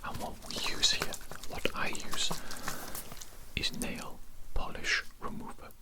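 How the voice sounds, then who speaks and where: Man whispering as an evil, condescending doctor. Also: close to an everyday voice. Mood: calm, sinister. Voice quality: breathy, unvoiced whisper. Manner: slow, repetitive, coolly condescending, fussy. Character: sinister, contemptuous evil kidnapper doctor examining a captive. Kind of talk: ASMR roleplay.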